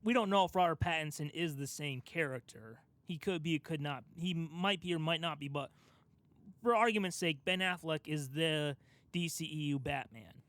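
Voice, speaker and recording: Male podcast host, young adult, higher voice, emphatic explainer in casual discussion, close dry sound. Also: normal speed, a bit bright, dry recording. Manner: explanatory, emphatic, talks through an argument step by step. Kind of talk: casual pop culture discussion.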